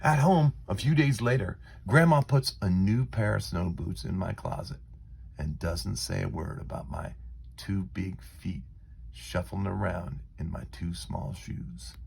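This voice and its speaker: Casting: male children's story narrator, low gravelly voice, dramatic and deliberate phrasing. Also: darkish tone, slight background noise. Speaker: storybook reader.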